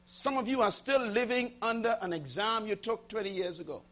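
A man preaching a motivational sermon to a live audience, firing rhetorical questions, repeating key lines and swinging from loud bursts to hushed asides. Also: sits high, moderate pace. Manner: dramatic swings between loud and hushed, call-and-response questions; emphatic, repeating key phrases.

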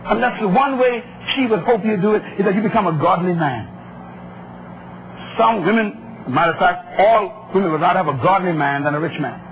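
A male preacher with a high-pitched voice delivers an impassioned sermon in a declamatory style. He drives points home in short, repeated phrases and puts questions to his congregation. The live recording is heavily covered in noise. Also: lively intonation, a little fast. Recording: very noisy live speech recording.

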